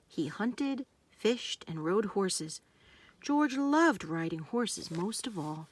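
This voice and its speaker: A woman narrating a children's storybook, reading aloud in simple, deliberate phrases meant for young listeners. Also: medium pitch, big pitch swings, a little hiss or hum.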